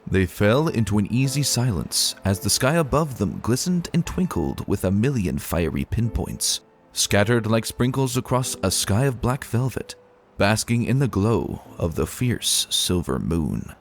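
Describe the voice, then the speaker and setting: A male narrator reads a story in a fairly deep voice. His delivery is dramatic, with lively rises and falls in pitch. Music plays behind him. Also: a little slow, a little hiss or hum.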